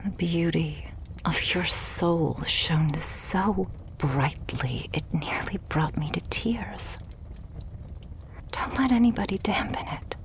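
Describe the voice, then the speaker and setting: Slow, breathy vampire girlfriend, played by a woman. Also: lowish voice. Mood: tender, reassuring. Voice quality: soft, breathy, often whispered. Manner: slow, tender, coaxing, lightly teasing. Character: loving, doting, stubborn female vampire girlfriend. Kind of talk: ASMR roleplay, intimate monologue to the listener.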